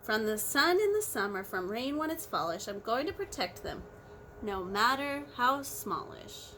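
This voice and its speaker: A woman's voice: on the high side, big pitch swings, unhurried, sharp tone, a little hiss or hum, heavily acted. A woman voicing an eager tiny young kangaroo in a read-aloud storybook, chirpy and keen.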